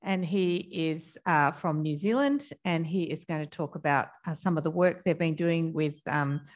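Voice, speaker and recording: A woman's voice: deep voice, moderate pace. A woman chairing a conference session, introducing speakers informally with frequent fillers. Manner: informal, hesitant, many fillers. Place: conference room.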